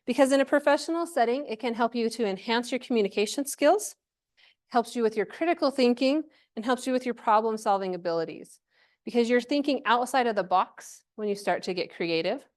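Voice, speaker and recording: A woman's voice: a little high, a little fast. A woman giving an informal workshop presentation to a live group, chatty and encouraging, often checking in with questions.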